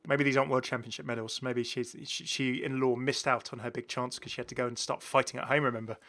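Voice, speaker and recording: Give this a man with a bright, forward voice doing casual gaming commentary. He is chatty and rambles along, often doubling back to repeat a phrase. His pitch sits in the middle for a man. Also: fairly even intonation, quick pace. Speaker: gaming video host. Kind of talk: casual commentary on game cosmetics.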